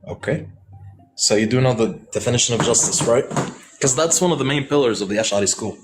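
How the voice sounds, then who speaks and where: Male debater, mid-pitched voice, persistent and probing in his questioning, music playing behind him. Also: fast, rough recording. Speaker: debate participant.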